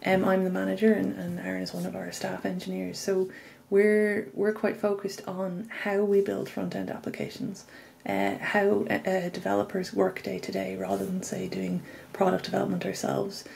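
Female manager, 30s to 40s, dark relaxed tone, informal interview over video call, faint background noise. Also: medium pitch, moderate pace, gravelly. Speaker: engineering manager, interview guest. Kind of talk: informal technical interview talk.

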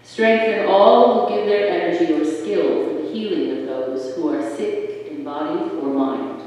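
A lay reader leading prayers of intercession in a church service, reading slowly with slips and self-corrections. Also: mid-range voice, darkish tone. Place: large church with stone walls. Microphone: distant room mic. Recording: echoey church from far off.

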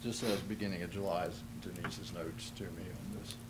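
A middle-aged man, a city official, giving a halting formal explanation at a podium microphone in a council chamber. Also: low voice, steady tone. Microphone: podium microphone on stand. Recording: noisy council chamber room recording.

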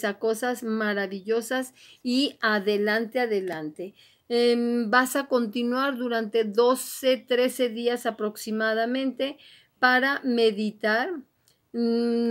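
A woman card reader speaking straight to the listener in an encouraging, exhorting manner, repeating her urgings as she gives a motivational horoscope reading. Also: on the high side. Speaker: tarot and oracle card reader, host.

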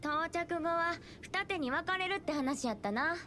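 A woman's voice: extremely high pitch, bright, forceful tone, slight background noise. An actress with a Kansai Japanese accent playing a blunt, teasing young woman fighter. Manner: blunt, teasing, quick-tongued. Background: game score music.

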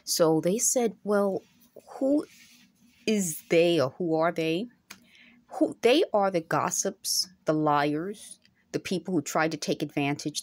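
A middle-aged woman talking straight to her phone camera at home, earnest and insistent, returning again and again to her points. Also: deep voice. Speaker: vlogger. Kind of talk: direct-to-camera monologue. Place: indoor room at home. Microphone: phone camera held close.

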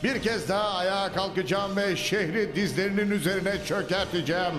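A man's voice: high-pitched, brisk, close to an everyday voice. A man voicing a scheming, grandiose male sewer villain in a dubbed cartoon, giving gloating theatrical speeches. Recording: echoey reverb with music.

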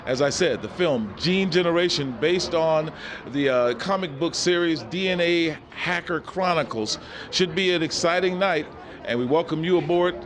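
A man's voice: fairly high pitch, normal speed, a crowd in the background. A man hosting a film premiere, introducing the event and interviewing fans on a handheld mic in a cinema lobby. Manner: upbeat, introducing and asking questions. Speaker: interviewer and host. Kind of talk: event reporting and street interviews.

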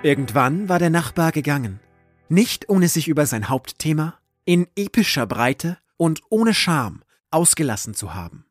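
A man narrating a scripted audio drama in a measured, literary storytelling manner. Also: on the high side, sing-song, faint music behind.

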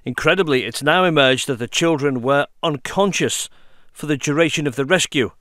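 Brief: British male reporter, news voice-over, dry studio sound, measured. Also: a little high, fairly bright tone, no echo.

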